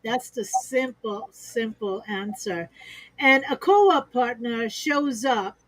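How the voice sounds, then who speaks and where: A woman with a fairly high voice talks through business partnerships in an upbeat, conversational way. She laughs easily and builds her points from examples. The sound is dry and close, as on a video call. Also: slow pace, dry recording, low-quality recording. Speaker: expert guest on a business livestream.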